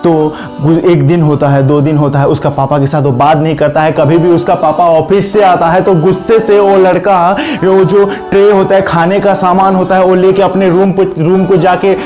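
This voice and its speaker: A man with an Indian accent telling a moral story in an informal, animated way. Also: high voice, normal speed, few pauses. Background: music.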